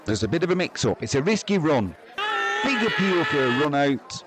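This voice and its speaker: A man's voice: fairly high pitch, big pitch swings, moderate pace. A man calling cricket action in short stock commentary phrases. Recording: loud crowd roar, heavy background noise. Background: loud stadium crowd.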